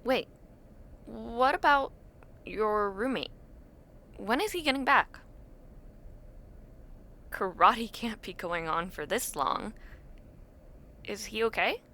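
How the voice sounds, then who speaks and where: Young woman as a female college friend for audio roleplay: bright, crisp-edged voice, chatty, sentimental, gently teasing. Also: a little high, animated, slow pace, long pauses, exaggerated voice. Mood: relaxed, friendly. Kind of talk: intimate audio roleplay, casual one-sided chat.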